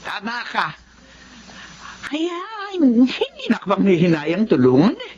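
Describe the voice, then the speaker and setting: A woman's voice: mid-range voice, big pitch swings, a little slow, a crowd in the background. An actress playing a kind, reassuring female employer in a Filipino radio drama, soothing and sympathetic. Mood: agreeable. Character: kind, reassuring lady of the house. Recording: slightly muffled, faintly noisy.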